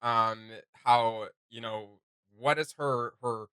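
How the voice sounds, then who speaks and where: Bright-voiced man, rambling fan commentary. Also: medium pitch, steady tone, takes it slowly, clean tone, no room sound. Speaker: reaction video host.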